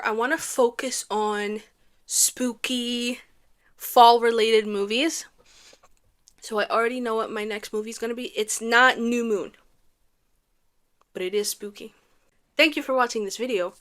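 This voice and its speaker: Young female vlogger, animated and sarcastic, slightly high-pitched, comedic rant. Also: a little slow, long pauses. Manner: animated, sarcastic, ranting with rhetorical questions. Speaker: movie review vlogger. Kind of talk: casual comedic movie review.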